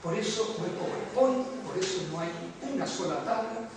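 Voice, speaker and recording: A man's voice: sits high, animated, normal speed. An older man from the Canary Islands, speaking Spanish with a Canarian accent blended with Latin American habits, gives a reflective, rambling university lecture. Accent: Canarian Spanish with Latin American mixing. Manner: reflective, discursive, frequent fillers and asides. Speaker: economics professor giving a lecture. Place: large lecture hall. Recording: distant echoey hall with noise.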